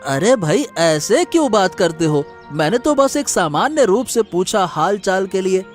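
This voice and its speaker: A man's voice: sits high, sing-song, a little slow, faint music behind. A man playing a poor, friendly farmer in a cartoon moral story, meek and hesitant as he placates.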